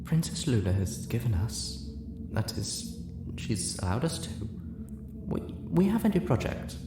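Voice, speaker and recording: A man voicing a shy, gentle female pegasus pony in a story, soft and breathy, hesitant and earnest. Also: mid-range voice, sing-song, a little slow, reverberant, over music, pitched above the performer's own voice. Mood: timid, hesitant. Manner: hesitant, timid.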